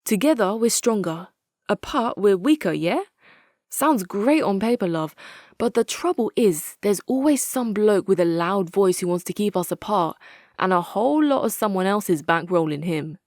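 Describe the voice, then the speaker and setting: Actress as female British commander, game character, mid-range pitch, frank, sceptical, wry, plain-spoken, dry studio-like sound. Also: expressive, normal speed, darkish tone, dry recording, close to an everyday voice. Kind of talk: dramatic scripted game character monologue.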